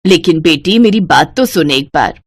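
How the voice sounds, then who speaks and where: A woman's voice: low-pitched, animated, moderate pace, breathy edge, no echo, clean studio sound, heavily acted. A woman voicing an affectionate older mother-in-law, the matriarch of a cartoon family. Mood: pleading.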